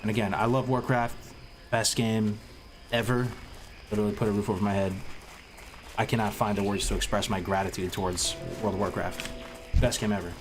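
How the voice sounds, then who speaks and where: A man's voice: lowish voice, steady tone, fairly quick, pauses often. Congested streamer guy rambling over music. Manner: rambling, restarts often, gamer slang. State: congested, sniffly. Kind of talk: casual live-stream chat to viewers. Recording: music playing clearly behind.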